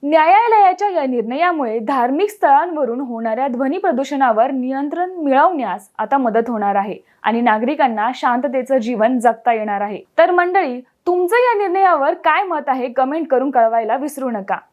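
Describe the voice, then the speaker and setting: A woman presents a scripted news explainer, her voice pitched high. She speaks clearly and in a measured way, like a channel presenter. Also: expressive, dull audio.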